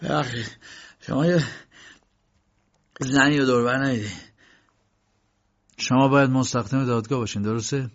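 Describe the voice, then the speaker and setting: A man's voice: mid-range voice, steady tone, voice pushed up, lightly acted. A man doing a breathless, polite court usher. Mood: hurried. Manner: hurried, polite. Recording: dull lo-fi recording.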